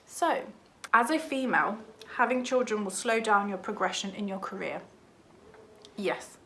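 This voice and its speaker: Croaky-voiced young woman vlogger. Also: medium pitch, normal speed, fairly bright tone, a little hiss or hum. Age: 20s. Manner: chatty and candid, many ums and likes. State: croaky from a cold. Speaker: junior doctor vlogger. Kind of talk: casual vlog talk to camera.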